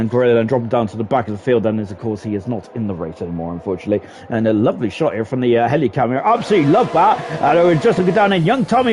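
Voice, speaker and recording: Man, live racing commentary, rapid delivery, fairly high-pitched, chatty, excitable, frequent tag questions. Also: slight background noise. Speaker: esports race commentator.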